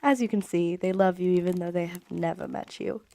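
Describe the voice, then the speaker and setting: An actress playing an affectionate young married Victorian mother reading her letters in a radio drama, with genteel, expressive period diction. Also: fairly low pitch, fairly quick, gravelly. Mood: fond.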